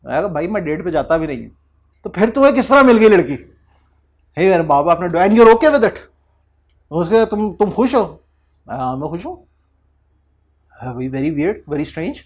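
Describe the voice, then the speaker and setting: A man's voice: high voice, expressive, normal speed, pauses often. A young man teaching an accountancy class, calling his students children and acting out little dialogues. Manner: addresses students as children, acts out quoted dialogues. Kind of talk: classroom lecture.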